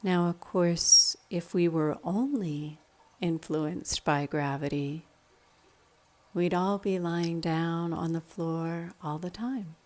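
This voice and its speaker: A woman leading a guided meditation in a calm, measured, instructional manner. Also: fairly low pitch.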